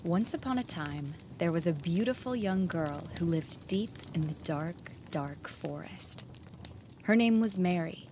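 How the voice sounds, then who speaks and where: A woman's voice: lowish voice, expressive, measured pace, background noise. A woman storyteller reading a scripted fairy tale with a horror bent.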